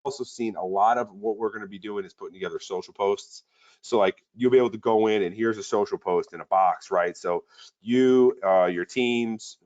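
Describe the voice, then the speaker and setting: A man's voice: low voice, darkish tone, dull audio. A man co-hosting a sales webinar in an upbeat, repetitive way with many fillers. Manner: upbeat, repeats words, fillers.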